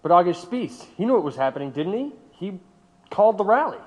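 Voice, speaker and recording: A man playing a brisk, accusing government interrogator in an audio drama, curt and pressing. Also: high voice, big pitch swings. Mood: probing. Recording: long echoing room reverb.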